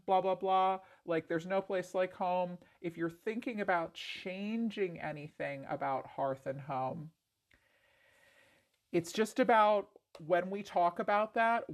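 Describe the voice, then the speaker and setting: A woman with a congested, raspy voice doing a chatty tarot reading, full of "like" fillers. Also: fairly low pitch. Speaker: tarot reader. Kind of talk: casual tarot reading to camera.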